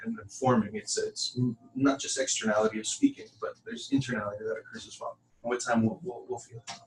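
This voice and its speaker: Male teacher, conversational and halting, small-group lesson. Distant mic, slight background noise. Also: mid-range voice, wildly swooping pitch, gravelly. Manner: halting, conversational, addresses listeners as friends. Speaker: class teacher.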